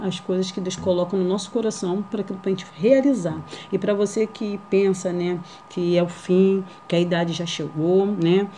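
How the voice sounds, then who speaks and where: A shy woman in her thirties with a Rio de Janeiro accent talks into a nearby camera in a small living room. Her voice sits a little low, and a faint hum of room ambience runs under her halting, filler-heavy speech. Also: dark tone. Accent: Rio de Janeiro Portuguese. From Brazil. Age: 30s. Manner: shy, hesitant, many fillers and tag questions. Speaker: vlogger. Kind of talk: casual first-vlog introduction.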